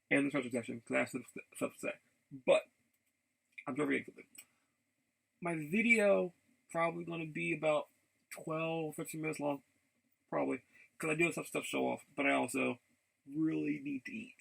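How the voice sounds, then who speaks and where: A man chatting casually in a rambling vlog, haltingly, often repeating and restarting his phrases. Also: a little high, creaky, a bit bright, husky, poor audio. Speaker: vlogger.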